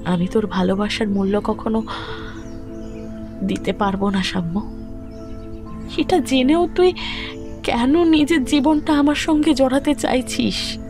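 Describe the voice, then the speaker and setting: A woman with a Kolkata Bengali accent playing a grieving, resolute young widowed mother in an audio story, speaking firmly and deliberately. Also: a little high. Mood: pained, insistent. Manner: solemn, deliberate, firm. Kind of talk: romantic audio drama dialogue. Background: background music.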